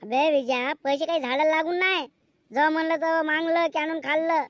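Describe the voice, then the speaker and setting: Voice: high voice, steady tone, fast talker, fairly bright tone. A performer voicing a scolding, sarcastic village father in a comedic cartoon, with a rural Maharashtrian Marathi accent. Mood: grumbling. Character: grumbling, mocking, boastful village father. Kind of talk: comedic cartoon skit dialogue.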